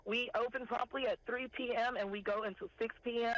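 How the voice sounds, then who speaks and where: A woman, a farmers market director calling in to a morning news show and listing products in a steady, unhurried way. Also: a little high. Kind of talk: phone interview, listing products. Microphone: telephone. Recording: tinny phone line.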